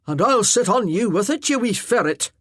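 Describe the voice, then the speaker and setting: An earnest giant of a Highland warrior, voiced by a woman reading the story aloud. He talks loudly and sincerely, his pitch rising and falling freely. The recording is close and dry. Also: expressive, normal speed, husky, no room sound. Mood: threatening. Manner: earnest, chatty, loud. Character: huge earnest male Highland warrior, former blacksmith.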